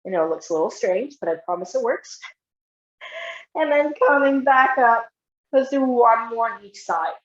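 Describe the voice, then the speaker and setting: A woman physiotherapist with a high voice talks a class through stretches, step by step and encouraging. Her voice is a little croaky. The sound is roomy and echoing, with a dull, lo-fi quality. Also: lively intonation, moderate pace. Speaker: physiotherapist leading an exercise class. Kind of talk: live online exercise instruction.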